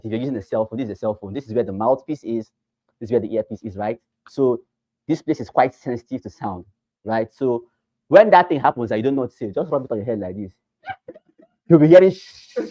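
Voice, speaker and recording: A man's voice: medium pitch, no room sound. Emphatic Nigerian man teaching realtors. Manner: emphatic, repeats points, asks rhetorical questions; swings between loud bursts and quiet asides. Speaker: real estate trainer. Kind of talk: classroom training talk.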